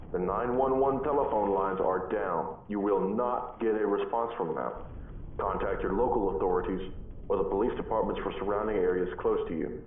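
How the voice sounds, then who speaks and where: A man's voice: lowish voice, lightly acted. Muffled, echoey emergency announcer played by a man. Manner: formal, measured, repeats warnings. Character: stern male emergency broadcast announcer warning residents. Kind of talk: scripted emergency broadcast announcement. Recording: muffled, echoey, distant, lightly noisy broadcast.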